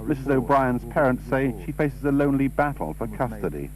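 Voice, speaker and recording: A man narrates a scripted news report in a medium-pitched voice. The recording is an old television broadcast with a faint hum and noise behind him. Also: lively intonation, a little fast, darkish tone, low-quality recording.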